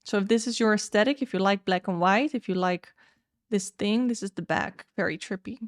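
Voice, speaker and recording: A woman's voice: mid-range voice, brisk, no echo, studio quality. A young woman whose first language is Dutch, casually reviewing upcoming tarot and oracle decks in a candid, chatty way. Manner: candid, chatty, frank opinions. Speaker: tarot YouTuber.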